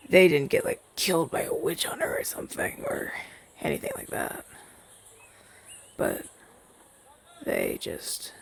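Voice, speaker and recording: A gentle, wistful rogue demon familiar played by a man in an ASMR audio drama. The voice is a breathy half-whisper that crackles with heavy fry. It speaks haltingly and softly to the listener, with pitch that swings widely. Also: heavily acted. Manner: hesitant, soft-spoken, halting and conversational.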